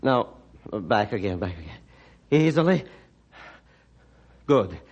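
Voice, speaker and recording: Gruff, guilt-ridden hermit doctor. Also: male voice, lowish voice, very animated, slow pace, a little mellow, lo-fi sound, heavily acted. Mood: resolute, directing. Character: bitter, guilt-ridden male hermit, a former surgeon. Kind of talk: old-time radio drama acting.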